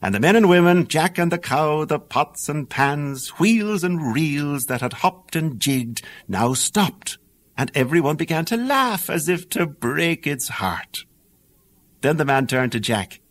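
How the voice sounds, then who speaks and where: A man with an Irish accent narrating a fairy tale audiobook in a lilting, animated storytelling manner. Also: on the high side, a little slow.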